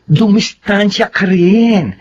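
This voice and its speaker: An actress playing a nosy, exclamatory office friend in a radio drama, with a husky voice and a Filipino accent. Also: female voice, medium pitch, slow pace, a little mellow. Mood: gossipy warning. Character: nosy, dramatic female office friend giving blunt advice. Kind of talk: Tagalog radio drama acting. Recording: dull lo-fi audio.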